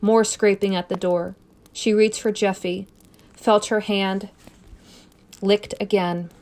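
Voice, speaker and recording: A woman reading a scary story aloud as narrator, expressive and suspenseful, with deliberate phrasing. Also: medium pitch, a little hiss or hum. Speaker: story reader.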